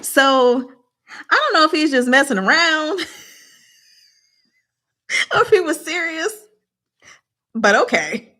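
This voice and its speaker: A woman hosting a casual book discussion, retelling scenes in a giggly, animated, slangy way and acting out the dialogue. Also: high voice, slow pace, pauses often.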